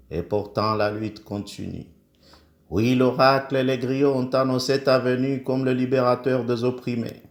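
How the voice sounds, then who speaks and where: A man's voice: mid-range voice, reverberant. A man from the Comoros reciting a solemn praise poem in an oratorical, declamatory way, in short emphatic phrases. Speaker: poet.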